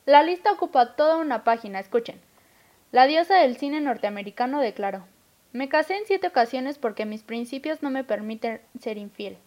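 Grumpy bossy older mexican woman, high pitched, blunt, radio drama. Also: expressive, moderate pace, darkish tone, a little hiss or hum. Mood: gossipy. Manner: irritable, sarcastic, blunt. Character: impatient, bossy, grumbling older woman with bad knees.

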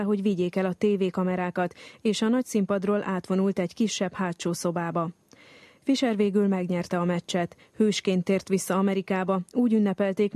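Woman, narrator, scripted radio documentary, measured, steady, monotone, dark, dry close sound. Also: mid-range voice, dry recording.

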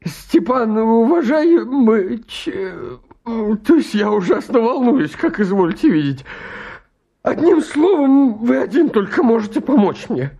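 A jittery, hypochondriac bachelor come courting in a radio comedy. His very high voice swoops and creaks as he stammers and hesitates, drawing his words out slowly. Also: very animated, mellow, heavily acted. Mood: flustered, pleading. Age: 30s. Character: nervous hypochondriac bachelor suitor of thirty-five. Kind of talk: stage comedy acting in a radio play.

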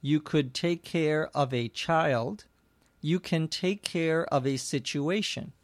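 A middle-aged man teaching English, telling a short story and then explaining words slowly and clearly, with dry little jokes. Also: a little high, no echo. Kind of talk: scripted story and slow vocabulary explanation.

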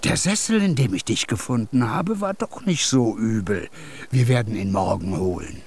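An actor playing a whimsical, kindly junk collector in a German radio play, musing and animated with a raspy voice. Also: male voice, on the low side, moderate pace, slight background noise. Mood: lively, confiding.

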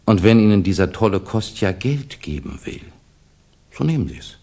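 An actor playing a suave, insinuating Russian official in a 1960s German radio drama, speaking with deliberate, false courtesy. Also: male voice, fairly low pitch, very animated, creaky, a little mellow, exaggerated voice. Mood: smooth, insinuating.

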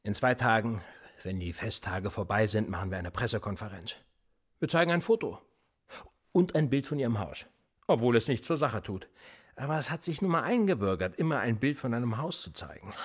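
An actor playing a cool, probing police officer who interrogates a suspect in a radio play, with a calm, dry, needling manner. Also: male voice, lowish voice, moderate pace, slightly rough. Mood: controlled.

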